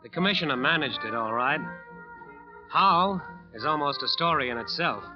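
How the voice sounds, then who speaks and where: A man's voice: a little high, lively intonation, normal speed, close to an everyday voice. An American actor playing a haunted jazz bandleader, telling his story in clipped, hard-boiled radio-drama sentences. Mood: relieved, intent. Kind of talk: 1940s-50s radio acting, first-person narration. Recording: dull old radio recording, music behind.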